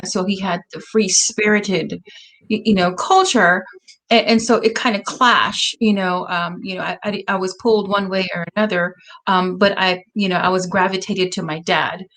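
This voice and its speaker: A woman hosting a casual talk show, speaking in a thoughtful, halting way with many restarts. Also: medium pitch, steady tone, fairly bright tone. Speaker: host. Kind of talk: casual conversation on a video podcast.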